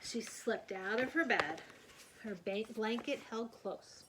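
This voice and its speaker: A woman reading a children's story aloud in an expressive, animated storytelling manner. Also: a little high, slow, noisy background. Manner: animated, putting on character voices. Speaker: read-aloud storyteller, teacher.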